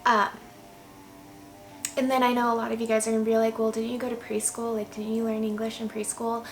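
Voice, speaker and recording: Gravelly-voiced young woman, casual and chatty. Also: on the high side, level tone, brisk, slight background noise. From United States. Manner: candid, chatty, many "like"s and "um"s. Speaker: vlogger. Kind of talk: casual personal storytelling.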